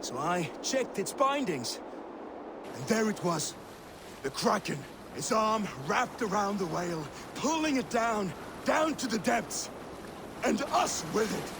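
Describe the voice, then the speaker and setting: Viking warrior, game storyteller, boastful, swooping animated delivery, water noise behind. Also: male voice, sits high, takes it slowly, heavily acted. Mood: dramatic yarn-spinning. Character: seasoned male Viking warrior spinning boastful sea yarns. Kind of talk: dramatic oral storytelling. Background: boat on water.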